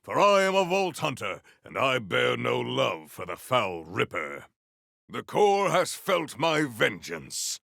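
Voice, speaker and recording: An actor playing a solemn, honor-bound warrior-monk in a video game, speaking in a formal, stately, theatrical way. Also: male voice, medium pitch, very animated, slow, vocal fry, fairly bright tone, no room sound, studio quality, heavily acted. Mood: vengeful, resolute. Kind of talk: scripted video game dialogue.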